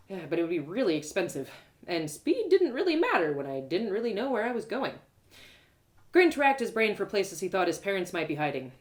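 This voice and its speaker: Woman doing lively fantasy storytime, very expressive, pitch swings all over, pauses for drama. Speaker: author reading own story aloud. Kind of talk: animated first-person fantasy storytelling, live reading.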